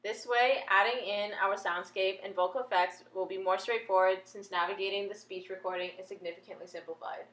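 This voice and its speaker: A woman narrates a tutorial from a script in a formal, explanatory manner. Her mid-pitched voice carries noticeable room echo. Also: steady tone, fairly quick, few pauses, a bit bright. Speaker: tutorial presenter. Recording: echoey room sound.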